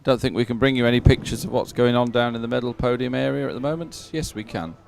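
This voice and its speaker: A man's voice: medium pitch, fast talker, gravelly. A man giving conversational live commentary on a sporting event as a co-commentator.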